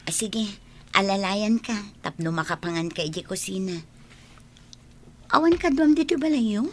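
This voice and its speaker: An actress with an Ilocano accent playing a caring, dutiful young woman and loyal friend in a radio drama, speaking gently. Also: medium pitch, expressive, moderate pace, a little hiss or hum, lightly acted.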